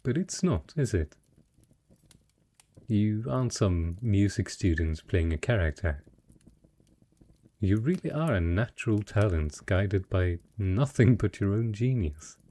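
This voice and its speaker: Man playing a husky, slow, courtly dragon patron. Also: fairly low pitch, animated, warm tone. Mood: excited, effusive. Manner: refined, eloquent, effusive. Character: dragon disguised as a wealthy male patron, possessive, courtly, effusive. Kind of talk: romantic fantasy audio roleplay addressed to the listener.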